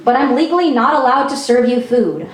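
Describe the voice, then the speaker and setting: A woman's voice: fairly high pitch, animated, brisk, recorded from a distance, very noisy. A young woman from Peru performing a stand-up comedy set in a chatty, self-mocking way, with frequent ums. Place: small performance venue. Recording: echoey venue recorded from afar.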